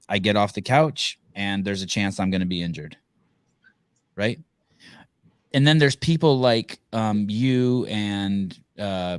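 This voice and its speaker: Low-voiced rambling male podcast host. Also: clean tone, dry recording, studio quality. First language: Armenian. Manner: rambling, restarts, casual swearing. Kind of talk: casual interview chat.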